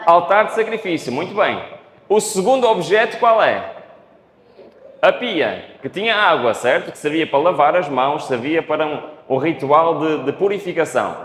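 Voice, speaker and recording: A man's voice: a little high, fairly bright tone, slight background noise. A man from Portugal with a European Portuguese accent, preaching a Bible study and asking the audience questions. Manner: unhurried, asks the audience questions. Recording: echoey hall.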